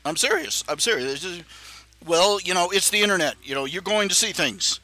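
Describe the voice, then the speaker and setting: A man's voice: high voice, lively intonation, a little fast, bright, forceful tone, soft background music, poor audio. A man hosting a live stream, talking in a casual rambling monologue with many stammering restarts and chuckling asides.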